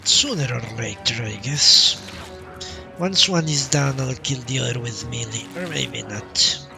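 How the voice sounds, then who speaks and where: Slow, gravelly man muttering over a game. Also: mid-range voice, over music. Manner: halting, muttering to himself, self-deprecating. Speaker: game streamer. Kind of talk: casual live gameplay commentary.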